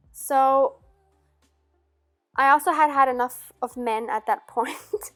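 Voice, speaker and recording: A middle-aged woman raised in Austria, answering viewer questions in a relaxed vlog with many "um"s and little laughs. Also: fairly high pitch. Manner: chatty, frequent "um" and soft laughs. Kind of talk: casual Q&A chat.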